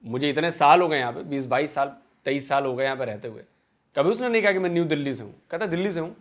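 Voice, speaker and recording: A man with a slightly high voice hosts a reaction video and comments with wry skepticism. He talks casually, as if to regular viewers. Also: animated, quick pace. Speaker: reaction channel host.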